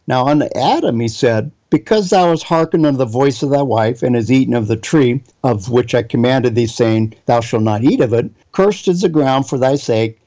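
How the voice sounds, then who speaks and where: A man's voice: medium pitch, mellow, highs cut off. A man preaching a Bible teaching sermon, reading scripture aloud and spelling out Hebrew words.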